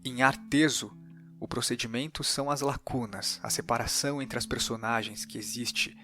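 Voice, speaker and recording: A man with a Brazilian Portuguese accent hosting a podcast, reading scripted analytical narration in a measured, explanatory way. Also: medium pitch, fairly even intonation, sharp tone. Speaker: podcast host. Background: faint guitar music.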